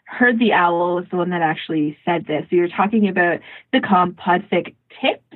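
A woman co-hosting a podcast in casual chat, often interrupting herself as she tells things in an animated way. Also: fairly low pitch, normal speed, husky, dry recording, narrow, tinny audio. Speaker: podcast co-host.

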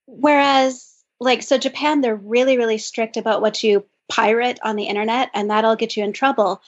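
Woman, podcast co-host, chatty, fairly high voice, muffled lo-fi audio. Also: fairly even intonation, normal speed. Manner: chatty, thinks aloud with frequent "like". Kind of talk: casual discussion. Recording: dull lo-fi sound.

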